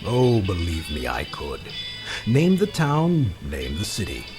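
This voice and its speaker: An actor playing a sinister, silky magician's mechanic in an audio drama, speaking in a formal, ominous, insinuating manner. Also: male voice, deep voice, huge pitch swings, slow, over music, heavily acted. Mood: sly, grandiose.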